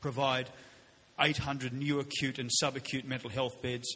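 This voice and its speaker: A middle-aged Australian man with a loud voice, answering as a politician at a public forum, repeating words haltingly. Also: medium pitch, little pitch movement, slightly rough. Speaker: politician on a panel. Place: large indoor hall, public forum. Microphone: shared event microphone. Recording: echoey hall with background noise.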